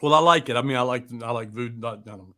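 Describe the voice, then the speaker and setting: A man's voice: medium pitch, quick pace, bright, forceful tone. A man hosting a casual live chat in a rambling, joking manner with plenty of swearing. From American South. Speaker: podcast host.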